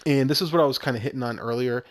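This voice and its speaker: A man's voice: medium pitch, very fast. An American man giving a casual, informal, instructional video game walkthrough as the guide. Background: game music with guitar.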